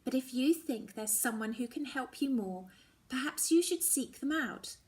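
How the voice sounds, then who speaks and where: A woman voicing a gentle, earnest mother who speaks in a soft, breathy, soothing and hushed manner in a storybook reading. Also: high voice, expressive, normal speed, voice pushed up. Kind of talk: dramatic children's novel reading.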